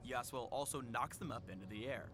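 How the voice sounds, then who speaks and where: A man narrates a video game guide with mid-range pitch. His delivery is measured, polished and clearly scripted, explaining things step by step. Music plays clearly behind him. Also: pushed and bright.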